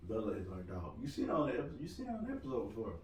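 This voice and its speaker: A married man co-hosting a casual podcast chat, loud-voiced, joking and rambling as he talks. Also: on the low side, huge pitch swings, rapid-fire, slight background noise. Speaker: podcast co-host. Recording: roomy room, mic at a distance.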